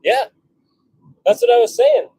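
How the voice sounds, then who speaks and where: A man's voice: very high voice, huge pitch swings, unhurried, warm tone, a little hiss or hum. A man chatting informally on a livestream while he works, talking half to himself and half to viewers. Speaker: livestream host, hobby blacksmith. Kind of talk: casual livestream chat while working. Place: home forge workshop.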